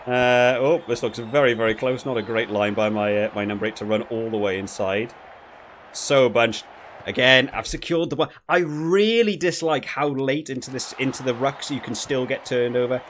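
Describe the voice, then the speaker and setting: A man giving casual, critical gameplay commentary, thinking aloud in a halting way and repeating words as he goes. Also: mid-range voice, fairly bright tone, a little hiss or hum. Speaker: gaming video creator reviewing while playing.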